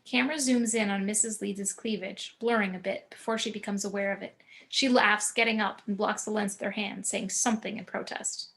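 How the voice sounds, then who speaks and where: Measured woman narrating a screenplay. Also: medium pitch, fairly even intonation, fairly bright tone. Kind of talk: reading screenplay action descriptions.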